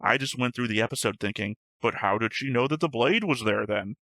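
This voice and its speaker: A man's voice: medium pitch, a little fast, bright, forceful tone, no echo, clean studio sound. A man co-hosting an anime reaction show, talking through his notes in casual, winding explanations and poking fun at himself. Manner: chatty, self-deprecating, long winding explanations. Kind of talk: casual discussion of an anime episode.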